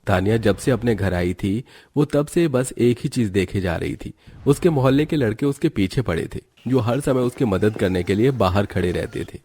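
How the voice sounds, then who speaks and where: A man's voice: on the low side, expressive, moderate pace, warm tone. A man narrating a scripted cartoon family story as a storyteller.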